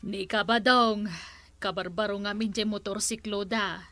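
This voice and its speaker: A woman's voice: medium pitch, fairly even intonation, moderate pace, heavy background noise, natural voice. A woman playing an envious, gossipy neighbour who grumbles scornfully in a radio comedy drama. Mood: grumbling.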